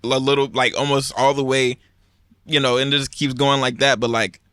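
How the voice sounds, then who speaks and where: Young male host, bright voice, dry close sound, rambles with fillers. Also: mid-range voice, little pitch movement, a little fast, dry recording. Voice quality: raspy when rapping. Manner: rambling, filler-heavy explaining. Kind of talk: casual chat.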